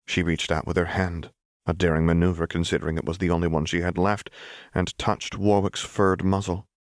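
Low-voiced male audiobook narrator. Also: level tone, fairly quick, dry recording, clean studio sound. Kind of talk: scripted dramatic fiction narration.

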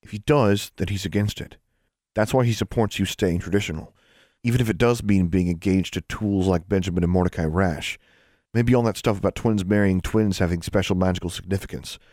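A frank teenage boy, voiced by a man in his natural tone. He talks things through in a low, plain way. The sound is dry and close. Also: fairly even intonation, fairly quick, darkish tone, no room sound, natural voice.

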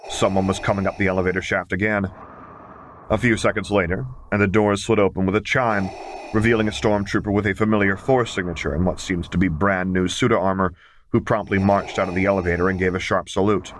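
A man with a very deep voice narrates an audiobook story. His delivery is scripted storytelling, recorded with faint noise in the background. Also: steady tone, fairly quick. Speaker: audiobook reader.

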